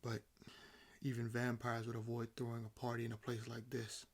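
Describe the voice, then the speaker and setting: A man playing a sardonic, freshly awakened vampire in a roleplay audio drama, talking casually and haltingly. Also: medium pitch, completely flat delivery, natural voice. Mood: wry.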